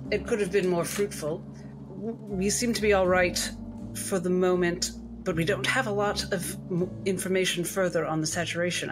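A woman's voice: on the low side, background noise, close to an everyday voice. Woman playing a shrewd, arch lady doctor. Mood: brisk. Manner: arch, measured, commanding. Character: shrewd, genteel female doctor with secret talents.

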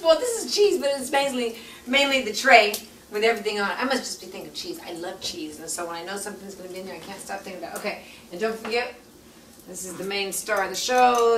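Chatty folksy lady doing a cooking show, bit high voice, sounds far off in a roomy kitchen. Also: very noisy. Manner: folksy, chatty, ends lines with "okay?". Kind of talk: home cooking demonstration. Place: home kitchen. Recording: echoey kitchen, voice from far off, loud cooking clatter. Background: sizzling pans and clinking utensils.

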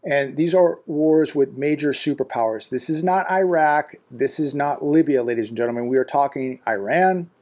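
A man's voice: fairly high pitch, normal speed, few pauses, darkish tone. A man in his fifties, seated indoors in a living room, giving an unscripted, alarmed commentary monologue. He is emphatic and repetitive, with frequent restarts and fillers. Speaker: commentary vlogger.

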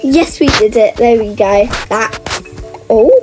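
Female gaming host, high voice, casual and exclamatory, thinking aloud, faint music underneath. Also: a little slow. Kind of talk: casual gameplay commentary.

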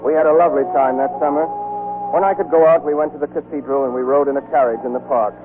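A man's voice: a little high, steady tone, fast, natural voice. Guy as a young soldier in love, wistful n understated, old radio play, music under tinny sound. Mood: nostalgic narrating. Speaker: actor and narrator in a radio play. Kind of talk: 1940s radio acting. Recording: thin 1940s radio recording with music.

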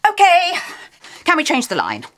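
A woman's voice: high voice, huge pitch swings, normal speed, fairly bright tone, exaggerated voice. A woman playing a fiery middle-aged soap actress, cutting, sarcastic and sweary. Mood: exasperated. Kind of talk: naturalistic screen comedy-drama acting.

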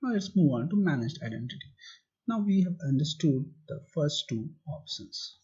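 A man walks through a tutorial step by step, posing questions and then answering them himself. His voice is husky and dark, and the recording sounds dull and muffled. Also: on the high side, lively intonation, normal speed. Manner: plain step-by-step explaining, asks and answers own questions. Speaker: tutorial instructor. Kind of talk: explaining slides and screen demo. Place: voice-over.

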